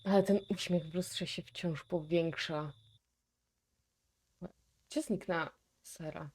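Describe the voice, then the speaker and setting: A woman's voice: fairly low pitch, fairly even intonation, slow, a little mellow, slightly rough. A woman with a Polish accent giving casual reaction commentary as a video host, musing and thinking aloud.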